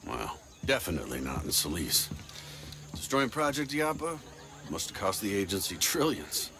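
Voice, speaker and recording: A man's voice: deep voice, big pitch swings, normal speed. A man voicing a folksy, easygoing game character who chuckles often. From Central Texas. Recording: game cutscene with faint music.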